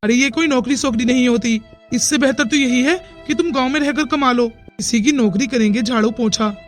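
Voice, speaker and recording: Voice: mid-range voice, fairly quick, lightly acted. A performer voicing an earnest, persuasive young village man in a cartoon moral story. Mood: insistent, reassuring. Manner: insistent, reassuring. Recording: music behind the dialogue.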